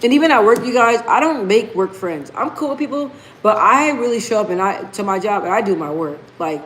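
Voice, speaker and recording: Woman, livestream host, casual chat, mid pitch, emphatic, repeats herself, reads out comments. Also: lively intonation, brisk, a bit bright, slight background noise, low-quality recording. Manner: emphatic, repeats key phrases; reads viewer comments aloud. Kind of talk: casual live stream chat.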